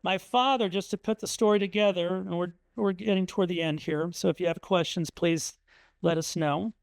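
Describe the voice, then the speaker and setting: A man's voice: sits high, fairly even intonation, moderate pace, vocal fry, clean tone, no echo. A middle-aged man from Indiana giving a conversational talk with slides, telling family anecdotes with frequent ums and uhs. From Indiana, USA. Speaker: author speaking at a museum talk. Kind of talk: storytelling presentation with slides.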